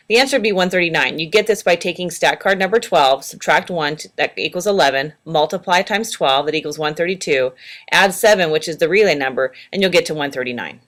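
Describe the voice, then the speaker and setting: A woman's voice: fairly low pitch, quick pace, fairly bright tone. A woman narrating instructional training slides in a plain, practical, step-by-step way. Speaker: training presenter.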